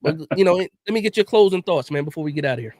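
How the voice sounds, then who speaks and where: A man's voice: fairly high pitch, lively intonation, rapid-fire, a little mellow, dry recording. A man hosting a casual sports livestream, laid-back and chatty, often repeating words and tossing in "man.". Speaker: podcast host.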